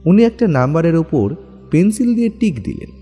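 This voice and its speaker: A man's voice: fairly high pitch, sing-song, brisk, warm tone, slightly rough, long reverb tail, soft background music. A man narrating a scripted detective story in a dramatic style.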